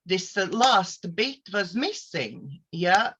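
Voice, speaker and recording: Slow-spoken Latvian woman coach. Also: medium pitch, sing-song, fairly bright tone, slight background noise. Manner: careful non-native English with hesitant fillers. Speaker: host, life coach and therapist. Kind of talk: self-introduction to camera.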